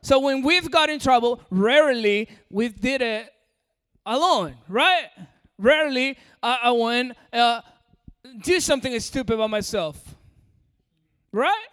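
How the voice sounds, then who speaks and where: A man's voice: very high-pitched, lively intonation, slow pace, fairly bright tone, clean tone. A man from Peru with an American-sounding accent, preaching a sermon and coaxing the audience directly. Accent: American-sounding English. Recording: long hall reverb.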